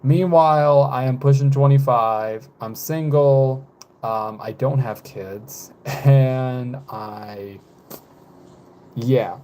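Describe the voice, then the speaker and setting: A man in his twenties chatting casually with live viewers, rambling and hesitant, with many fillers, self-corrections and soft laughs. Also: medium pitch, slow pace, slight background noise. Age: 20s. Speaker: live streamer.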